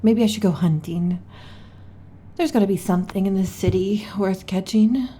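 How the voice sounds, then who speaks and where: A woman voicing a restless, irritable female snake-tailed lamia in an ASMR roleplay, huffy and dramatic, often slipping into soft whispers. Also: mid-range voice, normal speed, a little hiss or hum, lightly acted.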